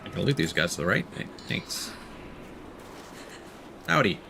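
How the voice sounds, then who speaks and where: A man's voice: deep voice, big pitch swings, vocal fry, noisy background. A man streaming a video game, thinking aloud in casual commentary. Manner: musing aloud, unhurried asides. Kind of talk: casual livestream gameplay commentary. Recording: game ambience behind voice. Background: faint game ambience.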